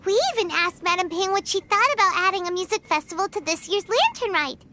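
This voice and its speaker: A woman voicing a small, eager, childlike female companion creature in a video game, squeaky and bubbly. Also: brisk, background noise. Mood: excited, eager.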